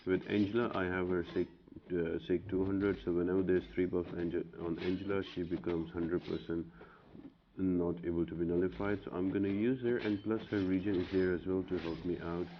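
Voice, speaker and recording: A man's voice: low voice, fairly even intonation, fairly quick. A man giving casual, matter-of-fact video game walkthrough commentary in an instructional way. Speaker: video game guide host. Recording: muffled audio with clear background noise.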